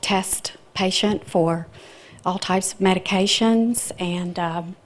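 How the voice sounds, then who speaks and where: A woman with a soft voice, a seminar volunteer, describing her problem haltingly with many fillers. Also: fairly low pitch, soft background music.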